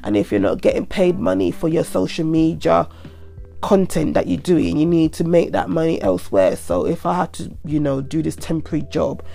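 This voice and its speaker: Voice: mid-range voice, expressive, moderate pace, vocal fry, mellow, faint music behind. A young adult podcaster with a stuffy head cold, rambling casually and repeating themselves. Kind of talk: casual solo monologue.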